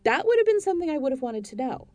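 A woman voicing a foul-mouthed young homeowner who yells and swears in a spoken story. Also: high voice, sing-song, very fast, muffled recording, pitched above the performer's own voice. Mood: furious. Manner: shouting, swearing.

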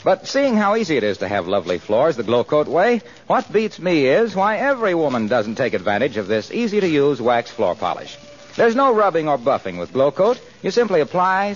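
American male announcer, 1940s radio, polished sell, big pitch swings, lo-fi vintage sound. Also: slightly rough.

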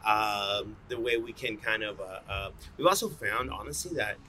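Guy in a casual interview, really bright forward voice, rambling and slangy, some noise behind. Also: fairly low pitch, moderate pace. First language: bilingual English and fluent Spanish. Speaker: coffee roaster, interview guest. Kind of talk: casual conversational interview answers.

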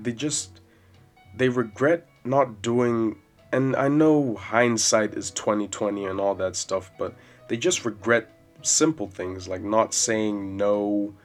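A man in his twenties hosting a solo podcast, rambling casually and swearing freely in a loud voice with bass. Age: 20s. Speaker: podcast host. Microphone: podcast mic. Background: soft jazz music.

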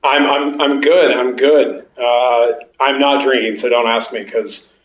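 A man's voice: mid-range voice, steady tone, measured pace, slight background noise. A young man talking as a podcast guest over a video call, chatty and self-mocking, often stumbling over his first words. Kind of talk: casual chat. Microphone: video call over a game console. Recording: echoey, muffled video-call audio.

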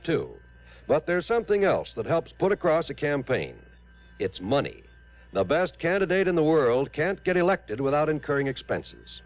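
A man working as a radio announcer, reading a script in emphatic, measured mid-century broadcast style. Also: mid-range voice. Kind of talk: scripted 1950s-60s radio announcing and public appeal. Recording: muffled old radio broadcast.

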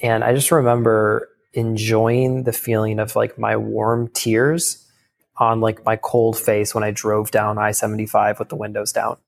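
A young man telling a candid personal story in casual talk, halting, with many fillers and restarts. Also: on the low side, completely flat delivery, vocal fry, a little hiss or hum. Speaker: guest.

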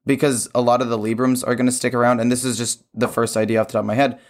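A man with a sore, hoarse throat hosting a gaming show, explaining his opinions in casual conversation. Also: mid-range voice, level tone, very fast. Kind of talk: casual chat about a card game.

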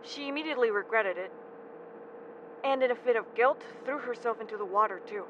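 Nervous, earnest young man Daniel, played by a woman, high-voiced. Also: fairly quick, lightly acted. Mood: explaining, hesitant. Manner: stammering, hesitant. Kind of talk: audio drama acting. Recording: muffled, vehicle noise behind.

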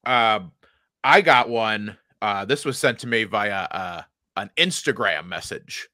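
A man's voice: fairly low pitch, lively intonation, a little slow, sharp tone, dry recording, studio quality. A man in casual podcast banter, a comedian telling about his act in a wry, joking way with stumbles and restarts.

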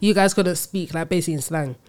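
A woman's voice: fairly low pitch, quick pace, darkish tone. A young woman, teasing her cousin in slangy casual chat. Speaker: podcast guest, cousin.

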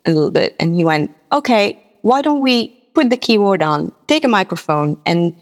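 A woman from Mauritius, a native speaker of French and Creole, telling personal stories as a guest musician in a halting, conversational way, often repeating her words. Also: mid-range voice, animated. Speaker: guest musician and singer-songwriter. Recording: long reverberant hall sound.

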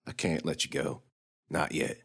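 A man voicing a gruff, ailing knight who speaks tersely in a low, grim way. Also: level tone, measured pace, a bit bright, exaggerated voice.

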